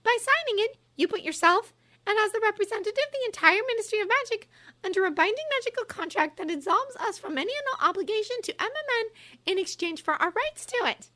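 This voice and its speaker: A woman's voice: very high-pitched, expressive, quick pace, sharp tone, pitched above the performer's own voice, heavily acted. A woman voicing a clever young witch who speaks with cool, relentless contempt. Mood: contemptuous.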